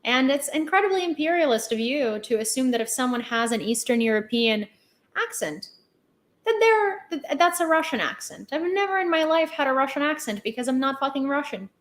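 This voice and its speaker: A woman with a fairly high voice and a Ukrainian accent hosts a casual news livestream. She is outspoken and emphatic, often repeating a phrase to drive it home. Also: sing-song, a little fast, echoey room. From Lviv, western Ukraine.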